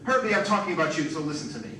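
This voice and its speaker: Man acting blustering robot scientist, demanding, pompous, bright forceful high voice, radio drama, roomy far-off recording. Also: big pitch swings, racing. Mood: indignant. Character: blustering, indignant male robot scientist, authoritative boss. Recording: echoey, distant, faint noise.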